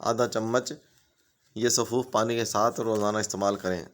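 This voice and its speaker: Man, smooth clear tone, flat delivery, steady instructive reading. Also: on the low side, moderate pace. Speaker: home remedies channel host. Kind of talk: reading home remedy tips.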